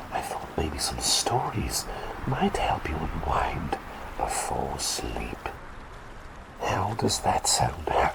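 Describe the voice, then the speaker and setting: A man's voice: a bass voice, gravelly, heavily acted, poor audio. A man voicing a quirky, eccentric male plague doctor who has turned into a small talking bird, chatting and rambling in a whispered roleplay. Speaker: ASMR roleplay performer.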